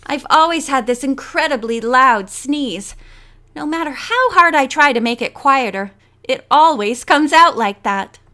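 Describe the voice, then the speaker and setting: A woman's voice: high voice, animated, measured pace, sharp tone, full character voice. A woman voicing a cheerful, apologetic young woman in a storybook, chatty and self-deprecating. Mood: sheepish.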